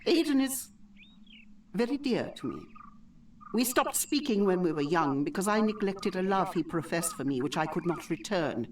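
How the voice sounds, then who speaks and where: A woman playing a wistful, older-looking healer in the swamps, with formal, old-fashioned, measured phrasing, in video game dialogue. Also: fairly low pitch, big pitch swings, a little mellow, recorded from a distance, slight background noise. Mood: solemn, earnest.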